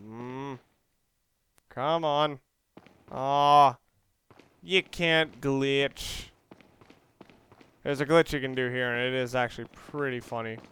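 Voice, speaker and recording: A man's voice: medium pitch, steady tone, slow pace, long pauses, a bit bright, smooth. A man giving casual let's play commentary, rambling and thinking aloud as he explains what he is doing. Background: game music and sounds.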